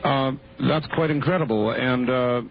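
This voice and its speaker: A man hosting a late-night call-in radio show, keeping things brisk and moving callers along against the clock. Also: medium pitch. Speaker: radio talk show host. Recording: muffled AM radio broadcast with faint hiss.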